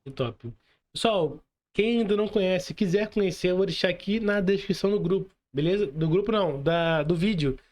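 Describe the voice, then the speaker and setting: A Brazilian man with a clearly high-pitched voice hosts a casual livestream. He talks in a friendly, informal manner, using plenty of slang as he addresses guest and viewers. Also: brisk, darkish tone. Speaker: podcast host. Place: remote video call.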